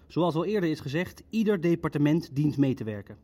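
An actor playing an orderly, sceptical Nazi bureaucrat in a radio play, with a measured, matter-of-fact manner. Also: male voice, fairly high pitch, expressive, dark tone. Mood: sceptical, clinical. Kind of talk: Dutch radio play dialogue.

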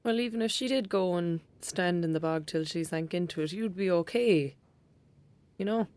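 A woman's voice: lowish voice, normal speed, darkish tone, no room sound, natural voice. A woman with an Irish accent playing a cheeky, protective young best friend in a naturalistic audio drama, youthful, colloquial and emphatic. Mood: concerned, coaxing.

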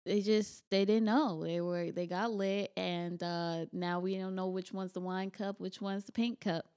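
A woman with a soft voice, a guest on a podcast, talking casually and easily about running art classes, with frequent ums. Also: mid-range voice, a little slow, clear, resonant tone. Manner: easygoing, relaxed, frequent ums. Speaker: guest, paint-and-sip art instructor.

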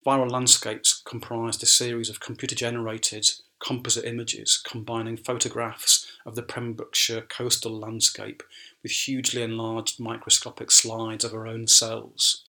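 A man delivers a scripted scholarly talk in a very bright, forceful voice. His pitch is mid-range and stays quite flat, and his manner is formal and academic. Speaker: academic speaker. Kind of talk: scripted essay narration.

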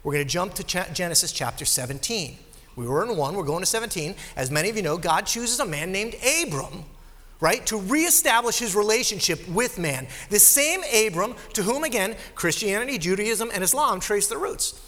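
A pastor in the pulpit, a man with a nasal, high-pitched voice. His delivery is lively and conversational, full of asides to the congregation. The recording carries the long reverb of a big sanctuary. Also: normal speed. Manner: conversational, animated preaching with asides. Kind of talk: sermon. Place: church sanctuary. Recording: live sermon in a reverberant hall.

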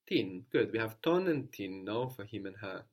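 A man's voice: lowish voice, expressive, normal speed. A man teaching a language lesson, patiently prompting his student and praising each answer.

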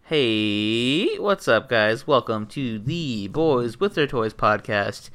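A man's voice: mid-range voice, lively intonation, slow pace, a bit bright. A young man hosting a casual podcast, laid-back and swearing freely. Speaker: podcast host.

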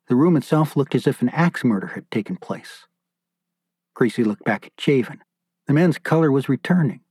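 A man's voice: medium pitch, expressive, normal speed, a little mellow, slightly rough, studio quality. A man narrating a scripted horror story as an author and audiobook narrator. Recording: roomy echo, faint music behind.

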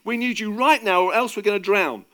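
Storybook role: cocky, forceful fisherman, played by a male reader, highly animated delivery. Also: normal speed, close to an everyday voice. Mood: desperate, urgent. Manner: bossy, teasing, forceful. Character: cocky, bossy fisherman disciple, panicking and bellowing in storms. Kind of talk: dramatised story reading.

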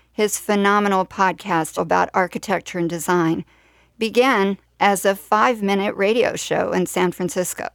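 A woman works as a radio host, reading scripted introductions and asking interview questions. Her voice sits at a middle pitch, and the recording is dry with very little room sound. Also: no room sound.

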